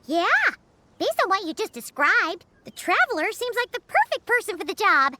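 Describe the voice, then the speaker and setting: Squeaky game fairy sidekick: childlike female, extremely high, very animated, excitable. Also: normal speed, full character voice. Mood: eager. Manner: refers to herself in third person, exclamatory. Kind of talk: video game voice acting.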